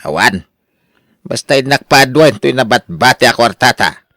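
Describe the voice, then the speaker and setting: An actor plays a hapless gambler in an Ilocano radio drama, evasive and whining. The delivery swings widely in pitch, with a bright, forceful tone and Ilocano accent, in a dry recording. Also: expressive, a little slow, dry recording, exaggerated voice.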